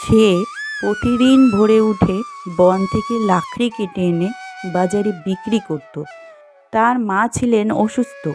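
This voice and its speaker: A woman reading scripted fairy-tale narration as the storyteller of a cartoon. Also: mid-range voice. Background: music.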